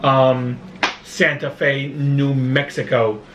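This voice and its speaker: A man with a Brooklyn accent chatting casually on a vlog, talking haltingly with frequent restarts. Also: mid-range voice, fairly even intonation, reverberant, far from the mic. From Brooklyn, New York. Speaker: vlogger. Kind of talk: casual chat. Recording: voice a bit off-mic, faint room noise.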